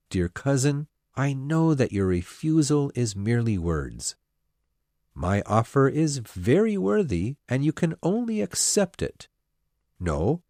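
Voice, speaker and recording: A woman voicing a pompous, self-satisfied young male clergyman who proposes, speaking in a slow, smug, insistent way. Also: rumbling low, darkish tone, no echo, studio quality, pitched below the performer's own voice, full character voice. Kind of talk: storybook character voice.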